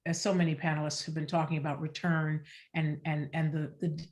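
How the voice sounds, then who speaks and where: A woman with a low-pitched voice speaks in a reflective, scholarly register. She is articulate and deliberate, weighing her words and stressing the important ones. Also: level tone, a little mellow. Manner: deliberate, searching, articulate, emphasizes key words. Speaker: theater artist on a panel. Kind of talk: scholarly, reflective panel discussion.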